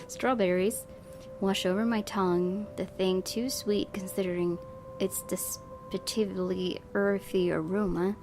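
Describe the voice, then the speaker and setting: A woman reads visual novel narration aloud for a gaming video. Her mid-pitched voice is expressive and wistful as she stays in character. Faint music plays underneath. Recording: music behind the voice.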